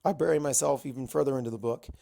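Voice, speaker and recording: A man's voice: medium pitch, rapid-fire, vocal fry, warm tone. A man reading a first-person story aloud as an amateur narrator. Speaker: amateur fan fiction reader.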